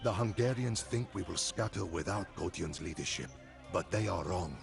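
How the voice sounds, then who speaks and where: Deep, gravelly, solemn warlord khan. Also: male voice. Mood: vengeful. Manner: solemn, ominous, deliberate. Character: grave, vengeful Cuman khan recounting his people's war. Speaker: cutscene narrator. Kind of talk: dramatic scripted storytelling narration. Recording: music behind.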